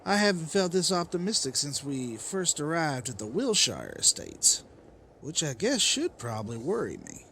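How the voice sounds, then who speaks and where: A man's voice: on the high side, huge pitch swings, normal speed, vocal fry, pushed and bright, slight background noise, heavily acted. A man playing an earnest, persuasive leader of apocalypse survivors in a dramatized comic. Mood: reflective. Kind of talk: dramatized comic-book voice acting.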